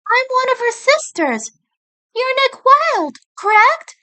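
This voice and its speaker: A woman's voice: very high voice, sing-song, slow pace, sharp tone, voice pushed up, full character voice. A woman voicing a small female rabbit in an audio story, teasing, direct and matter-of-fact. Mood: questioning.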